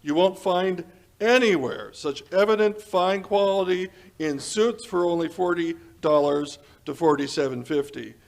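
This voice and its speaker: A man's voice: high-pitched, unhurried, vocal fry. A man announcing in the style of a 1940s radio sponsor spot, with polished, salesmanlike delivery. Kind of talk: 1940s radio advertisement and narration.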